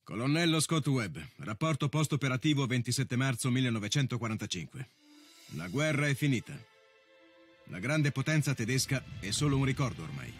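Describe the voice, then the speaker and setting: Casting: male actor as proud colonel, mid-pitched, measured and solemn war game narration over music. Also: expressive, natural voice. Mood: solemn pride. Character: proud army colonel reading a postwar report.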